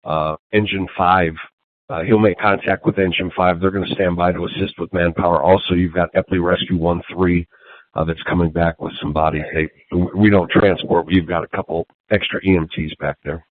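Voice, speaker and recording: A man's voice: deep voice, fairly even intonation, normal speed. A man working as an emergency dispatcher on a two-way radio, procedural, reading messages back over a narrow channel. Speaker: emergency medical group dispatcher. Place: command post.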